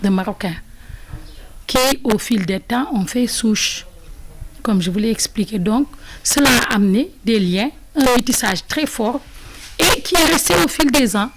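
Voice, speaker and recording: A woman from Senegal explaining history in an interview, halting and thoughtful with many restarts. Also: fairly high pitch, animated, background noise.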